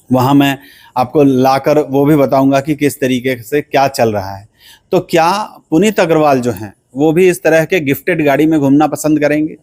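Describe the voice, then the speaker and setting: A male news reporter talks to his viewers in a spoken commentary. His mid-pitched voice is recorded dry and close, with no room sound. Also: darkish tone, dry recording.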